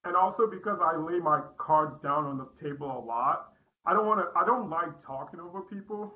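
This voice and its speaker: A man's voice: moderate pace, muffled recording. Man giving a candid club speech. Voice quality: deep voice. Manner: direct, candid, restarts often. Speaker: club speaker.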